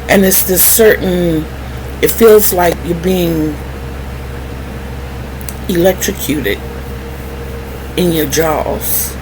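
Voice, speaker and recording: Middle-aged woman, unhurried delivery, lowish pitch, candid vlog storytelling, wry and animated. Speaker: vlogger. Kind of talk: candid personal storytelling to viewers. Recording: clearly noisy background hum.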